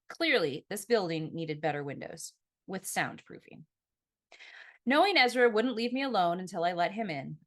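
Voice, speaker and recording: A woman reads fiction aloud in a slightly low voice. She narrates in the first person like an audiobook author, with an expressive storytelling delivery. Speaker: author reading own novel.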